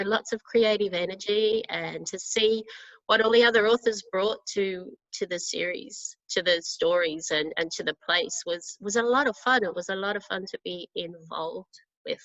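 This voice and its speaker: A woman, an author introducing herself casually in a halting, reflective way. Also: medium pitch, fairly bright tone, no echo. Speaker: romance author, panel guest. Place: at home on a video call. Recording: dull, dry call audio.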